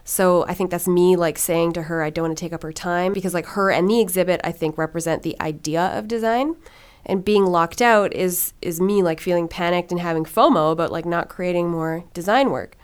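A woman's voice: lowish voice, fairly quick, clean tone. A young woman hosting a podcast, talking in a chatty way with lots of fillers, then interviewing a guest. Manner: chatty, filler-heavy with frequent "like". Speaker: podcast host. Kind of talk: casual monologue and interview.

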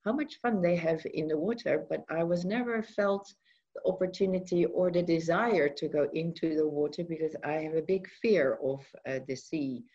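A woman from the Netherlands with a Dutch accent, sharing her personal story as a radio guest in a soft, earnest and hesitant way. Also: deep voice, moderate pace. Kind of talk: personal testimony in a radio interview. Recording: muffled lo-fi radio sound.

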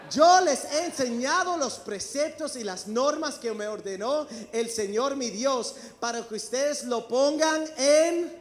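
A man's voice: very high voice, a bit bright. A man preaching a sermon, emphatic and calling out to his congregation in a church. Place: church sanctuary. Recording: long reverb of a large hall.

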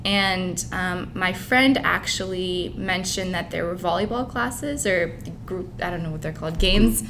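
A young woman who grew up in Canada and Dubai, chatting informally and openly as an interview guest. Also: unhurried. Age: young adult. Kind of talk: casual chat. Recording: clear background rumbling noise.

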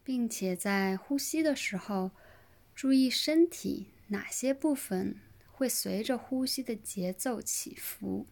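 A woman leading a guided relaxation meditation in a gentle, soothing manner, with pauses between short phrases. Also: fairly high pitch, gravelly. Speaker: yoga nidra meditation guide.

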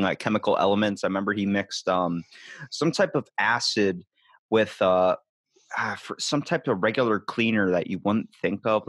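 A man's voice: fairly low pitch, fairly bright tone, no echo. A man hosting a podcast interview, loose, rambling and jokey in casual chat. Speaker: podcast host.

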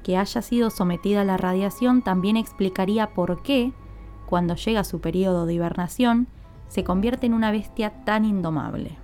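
Female podcast host. Dark, soft-edged timbre, mid-range pitch, clear explanatory scripted delivery aimed at the listener. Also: normal speed, soft background music. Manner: explanatory, addresses listeners directly.

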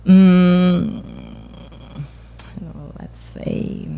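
A woman whose first language is Portuguese, chatting as a podcast guest in halting English with light laughs. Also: on the low side, monotone, clean tone. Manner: halting non-native English, soft laughs. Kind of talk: casual chat.

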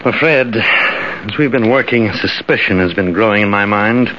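An actor playing an older, earnest, visionary scientist who explains things in a deliberate, theatrical, fatherly way in a vintage radio drama. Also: lowish voice, bright, forceful tone. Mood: worried, solemn. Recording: dull, noisy vintage radio recording.

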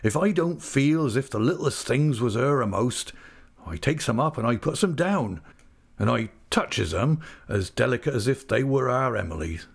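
Man voicing a hearty, lively rustic old fisherman. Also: mid-range voice, moderate pace, gravelly, breathy edge, voice pushed up. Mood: beaming affection. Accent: rural English dialect. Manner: jovial, earnest, homely speech.

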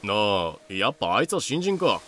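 A man's voice: lowish voice, big pitch swings, normal speed, fairly bright tone. A man in a fan audio drama playing a cool pirate-era adventurer with casual, dry speech. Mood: puzzled. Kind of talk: Japanese fan-made audio drama acting.

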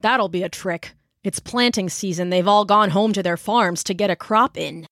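A woman reading a storybook aloud, voicing a steady old soldier father, a militia colonel who speaks in gruff, commanding tones. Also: medium pitch, moderate pace, no room sound, studio quality, close to an everyday voice.

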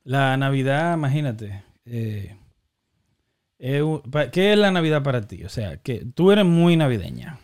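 A man's voice: medium pitch, sing-song, measured pace, a little mellow. A man, joking and slangy in a casual chat, often cutting in with questions.

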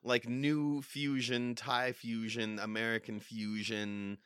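Bright-voiced, rambling podcast co-host man. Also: mid-range voice, flat delivery, takes it slowly, smooth, dry recording, clean studio sound. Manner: rambling, sidetracked, restarts words, lots of "like". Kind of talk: casual comedic banter.

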